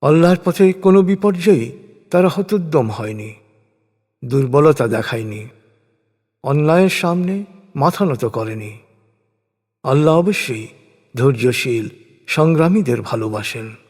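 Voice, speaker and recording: A man gives a solemn, scripted reading of translated scripture in measured, declamatory phrases. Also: on the high side, lively intonation, a little mellow, breathy edge. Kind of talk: solemn scripted religious recitation of translated verses. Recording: long hall-like reverb.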